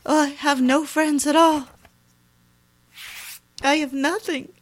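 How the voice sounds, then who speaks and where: Actress as lonely, emotional woman, slow and higher-voiced. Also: steady tone. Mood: tearful, overwrought. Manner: theatrical, breathy exclamations, talking to herself. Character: lonely, emotional young woman narrating her secret discovery. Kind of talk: dramatic first-person audio drama narration and monologue. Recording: clearly noisy recording with clicks.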